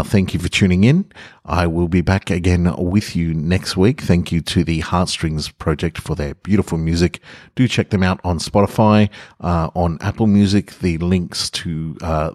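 A man hosting a podcast, speaking in a measured, deliberate way with pauses between phrases. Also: sits low, a little mellow, no room sound, clean studio sound. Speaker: podcast host and reader. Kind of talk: scripted literary monologue narration.

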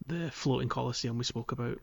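A man's voice: mid-range voice, fast, gravelly, husky. A man co-hosting a casual gaming podcast, thinking aloud as he talks in a hesitant way. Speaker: podcast co-host.

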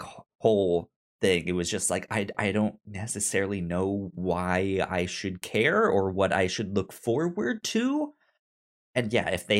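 A man with a lowish voice talks casually as a podcast co-host. He takes his time, very slow in pace, and halts often, stammering and repeating words as he works toward his point. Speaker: podcast co-host reviewing a TV series. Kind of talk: casual discussion.

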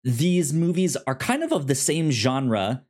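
Halting, enthusiastic male podcast co-host. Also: on the high side, sing-song, a little mellow. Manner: halting, repeats words, stammering starts. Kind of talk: casual movie discussion.

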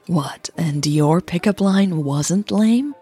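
A woman voicing a smitten, cheeky woman in an audio romance, husky, with a playful drawl. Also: fairly low pitch, expressive, takes it slowly, dark tone, soft background music, exaggerated voice. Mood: playful pouting.

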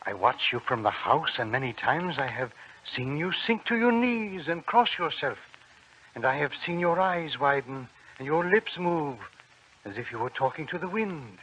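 A gentle, worried peasant uncle. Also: male voice, fairly high pitch, sing-song, moderate pace, a little hiss or hum. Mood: concerned. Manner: tender, concerned. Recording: dull old radio recording with music.

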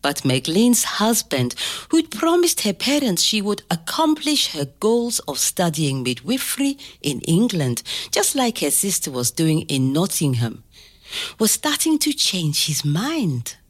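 An older woman telling a family story to a child, slow and expressive, pausing to ask gentle questions. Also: on the low side. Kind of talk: oral history storytelling to a child.

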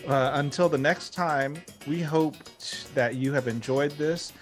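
A man hosting a podcast in casual chat, easygoing and relaxed. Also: a little high, a little slow, faint music behind. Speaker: podcast host.

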